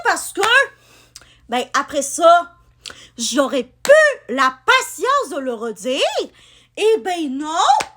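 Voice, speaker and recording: A woman's voice: high voice, fairly bright tone. A young woman from Quebec with a partly France-style accent, chatting to camera in a rambling way with many fillers. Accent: Quebec French, with some France-style features. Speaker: vlogger. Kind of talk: casual chat to camera.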